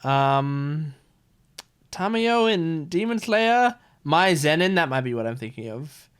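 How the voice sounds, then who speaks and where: A man's voice: a little high, sing-song, slow, a bit bright, clear, resonant tone. A man hosting a reaction video, giving casual, gushing and enthusiastic commentary.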